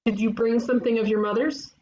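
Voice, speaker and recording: A woman's voice: a little high, flat delivery, quick pace, dark tone, close to an everyday voice. Woman acting a brash detective, muffled lo-fi mic. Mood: deadpan. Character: brash, cocksure young woman detective, wisecracking sister. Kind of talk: animated comedy table read. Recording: dull lo-fi mic, faint noise.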